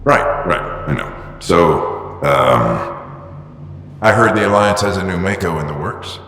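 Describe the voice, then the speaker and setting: A gruff, awkward middle-aged father speaks haltingly in a very low voice. A veteran explorer's weight sits behind his words. The sound is echoey, with a long reverb. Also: lively intonation, sharp tone, a little hiss or hum, exaggerated voice. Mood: reflective.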